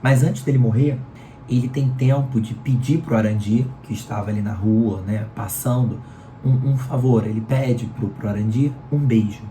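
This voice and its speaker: A man with a Carioca accent, hoarse from a cold, giving a chatty book review. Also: mid-range voice, normal speed, mellow, a little hiss or hum. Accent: Carioca Brazilian Portuguese. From Rio de Janeiro, Brazil. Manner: chatty, leans on "né?" tags. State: hoarse, sore throat from a cold. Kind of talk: casual book review talk. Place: room at home in Rio. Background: electric fan running.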